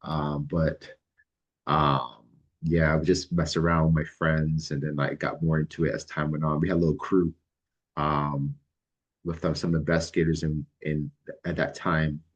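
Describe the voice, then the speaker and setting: Middle-aged man, extremely low voice, relaxed and hesitant, lots of ums. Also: slightly rough, muffled recording. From Barbados. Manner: halting, many ums and restarts, relaxed. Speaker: guest, licensed mental health counselor. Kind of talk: casual interview answers.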